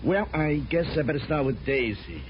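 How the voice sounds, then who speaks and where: An American actor playing an earnest, slangy small-time crook and henpecked husband in a 1950s radio crime comedy. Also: male voice, fairly high pitch, expressive, normal speed, lightly acted. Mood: earnest, sheepish. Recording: muffled, noisy 1950s radio recording.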